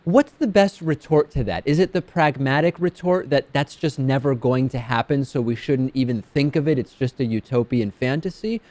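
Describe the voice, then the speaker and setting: A male talk show host from Argentina, a native Spanish speaker, asks guests and listeners direct, conversational questions. His voice sits at a middle pitch. The sound is dry and close, with no room around it. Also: normal speed, hardly any pauses, darkish tone, no echo. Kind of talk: interviewing and reading listener questions.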